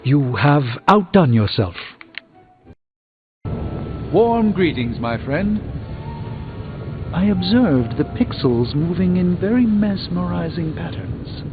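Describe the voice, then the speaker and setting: An actor playing a middle-aged, erudite sorcerer mentor in a video game, speaking with formal, grandiose eloquence and dry wit. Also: male voice, fairly high pitch, very animated, slow, long pauses, narrow, tinny audio. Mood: warm, proud. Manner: theatrical, eloquent, formal, wry. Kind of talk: video game character dialogue. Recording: game dialogue over prominent music.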